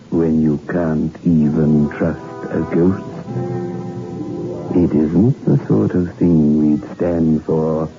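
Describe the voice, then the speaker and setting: A man playing the sinister host of a horror radio show, slow and theatrical, on an old muffled 1960s radio recording with music behind. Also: extremely low pitch, creaky, heavily acted.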